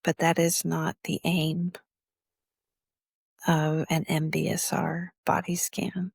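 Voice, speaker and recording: A woman teaching guided meditation in a measured, instructional way, leaving long pauses between phrases. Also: sits low, little pitch movement, vocal fry, slightly rough, dry recording, studio quality.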